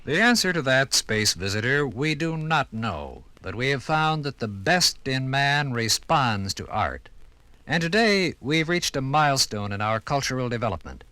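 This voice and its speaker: A man's voice: mid-range voice, big pitch swings, normal speed, bright, forceful tone, dry recording. An American man narrating a 1950s radio documentary from a script in a solemn, declamatory, dramatic manner.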